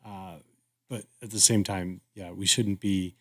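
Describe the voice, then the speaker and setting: A man co-hosting a podcast, discussing casually in an agreeable, thoughtful and somewhat halting way. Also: low-pitched, fairly even intonation. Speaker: podcast co-host.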